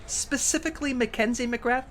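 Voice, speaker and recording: A man playing an upbeat, awkward, well-meaning government agent in a comedic audio drama, earnest and excitable. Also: extremely high pitch, moderate pace.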